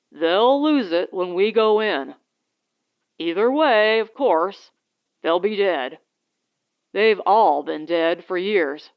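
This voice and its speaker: A woman plays a protective husband and spaceship captain in a science fiction audiobook. The delivery is slow and very clean, with blunt, instructive phrasing. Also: medium pitch, smooth, muffled recording. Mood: grim.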